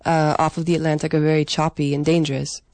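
Mellow low-voiced woman telling stories. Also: level tone, a little fast, no echo. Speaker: singer and pianist being interviewed. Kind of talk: unscripted interview storytelling.

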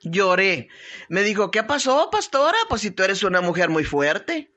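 Forceful, bright-voiced woman pastor. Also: mid-range voice, very animated, normal speed, dull audio. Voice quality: strong, forceful voice. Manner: conversational, hesitant searching for words, punctuated with amens and tag questions. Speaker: pastor hosting a live online prayer broadcast. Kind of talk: informal devotional talk with prayers, greetings and testimony.

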